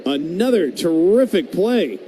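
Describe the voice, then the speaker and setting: A man's voice: high voice, a little slow. A man working as a baseball play-by-play announcer on live radio, mixing calls with casual banter over stadium ambience. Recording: steady ballpark background noise.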